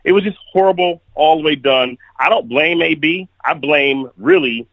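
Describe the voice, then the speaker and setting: A man's voice: a little high, moderate pace, a bit bright, no room sound, rough recording. Blunt, opinionated man on a remote call. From Kalamazoo, Michigan. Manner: blunt, opinionated, talks in restarts. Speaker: guest sports commentator. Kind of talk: casual sports podcast chat.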